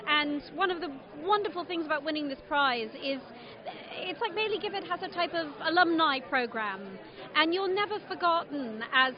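High voiced woman gushing excitedly, loud crowd behind, dull audio. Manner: effusive, enthusiastic. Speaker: former prize winner.

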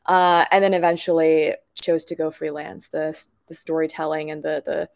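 A woman with a lowish voice talks as a guest in a conversational interview. She is chatty and expressive as she tells personal stories, and the sound is dry and close. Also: moderate pace, creaky, dry recording. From Thailand, grew up in Bangkok.

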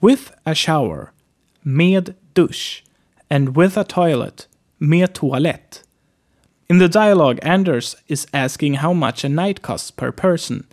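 A man teaching a casual spoken language lesson, relaxed and chatty, repeating phrases slowly. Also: a little high.

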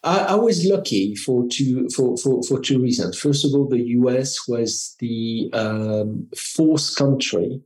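Middle-aged french guy, french accent, slow and halting, mellow tone, echoey room. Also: mid-range voice. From France. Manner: measured, halting, with frequent restarts. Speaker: marketing executive guest. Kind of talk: reflective interview answers. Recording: roomy echo.

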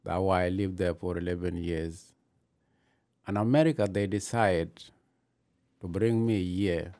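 A man from Ethiopia, telling his own story in halting, with many pauses. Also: deep voice, warm tone. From Gambela, Ethiopia. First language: not English. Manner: halting non-native English, many pauses. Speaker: interviewee, new citizen. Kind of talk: personal story in an interview.

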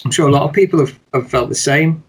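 A man talking as a guest in a casual chat, rather monotone, measured and hesitant with many restarts. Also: a little high, slightly rough. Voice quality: somewhat monotone. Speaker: guest, wedding photographer.

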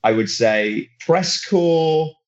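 A man's voice: a little high, animated, drawn-out words, lo-fi sound. A man working as a football reporter, chatting casually on a podcast, enthusiastic and rambling, often restarting his sentences. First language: not German; German is his second language.

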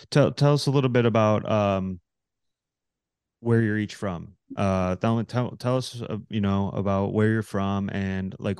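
A man with a very low, gravelly voice co-hosts a sports podcast and asks loose, rambling questions. He repeats words as he gathers his thoughts, and he sounds close to the mic in a dry room. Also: darkish tone, no echo. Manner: halting, repeats words while asking questions. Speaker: podcast co-host. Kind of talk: casual interview questions.

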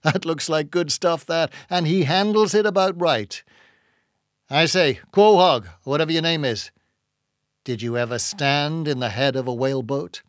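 A man voicing a gruff, bossy old sea captain who hails people heartily in an audiobook reading. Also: on the high side, unhurried, no room sound, studio quality, close to an everyday voice. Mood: hearty, excited. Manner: loud hailing, salty nautical exclamations.

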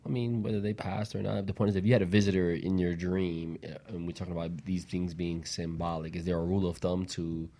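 A man's voice: deep voice, darkish tone. A man co-hosting a radio talk show in a casual, conversational style.

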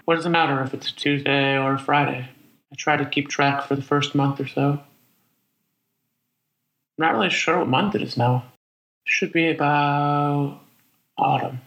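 A man acting in a radio play as a lonely, weary survivor of a disaster, musing aloud in a halting, conversational monologue. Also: mid-range voice, fairly bright tone, echoey room, lightly acted, low-quality recording. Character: lonely, weary, reflective male survivor wandering after disaster. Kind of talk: dramatic monologue, spoken like an audio diary.

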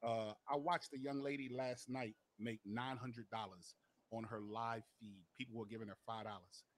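A man's voice: medium pitch, steady tone, normal speed, a little hiss or hum. A man in his late forties, joking and teasing in casual talk. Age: 40s. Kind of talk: casual banter on a podcast.

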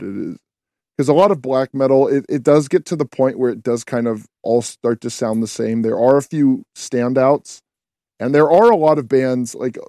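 A man's voice: medium pitch, dark tone, no room sound, clean studio sound. A man hosting a podcast, talking casually and giving blunt opinions at length. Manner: opinionated, talkative. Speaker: podcast host.

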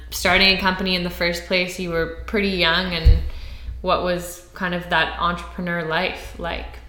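A woman's voice: lowish voice, sharp tone. A woman hosting a podcast, introducing her guest and asking him questions in an upbeat way. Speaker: podcast host. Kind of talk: interview hosting. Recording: echoey room.

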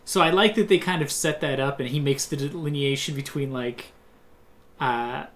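A podcast co-host in casual movie talk, with a mid-pitched voice. This co-host tells stories freely and acts out earlier thoughts while explaining. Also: moderate pace. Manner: chatty storyteller, acts out own past thoughts. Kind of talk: casual movie review chat.

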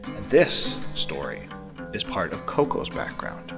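Deep-voiced male podcast narrator. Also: very animated, takes it slowly, long reverb tail, over music. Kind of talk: scripted narration.

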